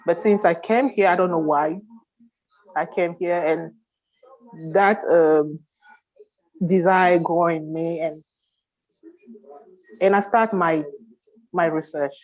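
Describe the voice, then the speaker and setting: A woman from Cameroon on a video call, telling her story in a halting way with many restarts. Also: on the low side, fairly even intonation, darkish tone. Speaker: guest. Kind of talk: casual chat. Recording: dull lo-fi call audio.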